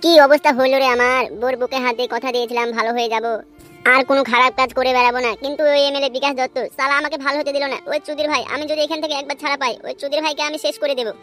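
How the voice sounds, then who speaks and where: A woman's voice: high voice, little pitch movement, very fast, fairly bright tone, faint music behind. A woman voicing a hot-headed captive male crook in a comedy cartoon, foul-mouthed, aggrieved and pleading. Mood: angry. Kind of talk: amateur comedy cartoon dubbing.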